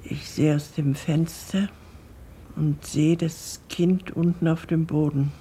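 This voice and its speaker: A woman playing a courteous, habit-bound elderly retiree giving witness in a radio drama, hesitant and deliberate. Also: low voice, fairly even intonation, warm tone, slight background noise. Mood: shaken, hushed. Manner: polite, hesitant, deliberate storytelling.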